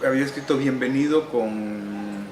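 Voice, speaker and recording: A middle-aged man from El Salvador with a Salvadoran Spanish accent, talking through his life as a writer in an unhurried, reflective way, pausing on "eh" and adding wry humor. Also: medium pitch, music in the background. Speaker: interviewee, novelist and musician. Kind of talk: relaxed recorded interview. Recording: echoey room with air-conditioning hum.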